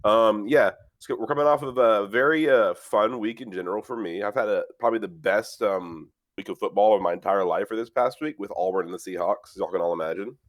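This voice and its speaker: A man's voice: lowish voice, a little fast, few pauses, no room sound, clean studio sound. A young man hosting a casual sports podcast, a bit congested from a cold, rambling with many fillers and swearing. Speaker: podcast host.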